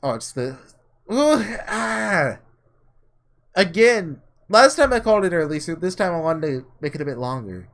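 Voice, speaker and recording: A man's voice: a little high, very animated, moderate pace, gravelly. A man hosting a casual gaming playthrough, thinking aloud informally and reading visual novel text. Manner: informal, musing out loud. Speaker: gaming video host.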